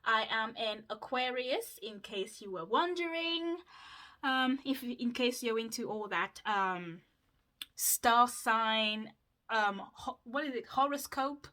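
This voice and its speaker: A woman's voice: high voice, bright, forceful tone. A woman, chatting casually to her viewers while doing her skincare, with lots of fillers and asides. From Mauritius. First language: Mauritian Creole. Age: 20s. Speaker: vlogger.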